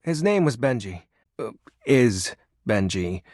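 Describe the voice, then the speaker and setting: A man's voice: medium pitch, sing-song, takes it slowly, darkish tone, no room sound, studio quality. A man voicing a shy, nerdy living shadow in a video game, sounding earnest, hesitant and a little wistful. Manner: awkward, hesitant, sheepish. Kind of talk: video game character dialogue.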